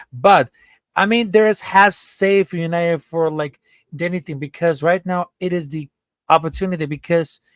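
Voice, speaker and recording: A man's voice: on the high side, no room sound. A man from Venezuela with a Venezuelan Spanish accent, calling in to a football show and speaking earnestly and carefully as he searches for words. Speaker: caller. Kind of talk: casual chat.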